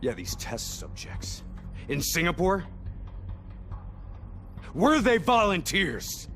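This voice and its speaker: An actor playing a hot-headed, sarcastic special forces soldier in a video game cutscene, barking and confrontational. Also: male voice, high-pitched, very animated, slow, background noise, heavily acted. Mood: cold, pressing. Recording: loud music behind. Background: loud score.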